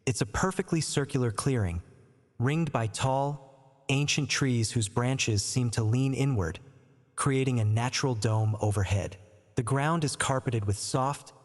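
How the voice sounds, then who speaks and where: A man narrating a scripted bedtime story and guided sleep meditation in a calm, gentle, unhurried, soothing manner. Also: mid-range voice. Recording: long reverb on the voice.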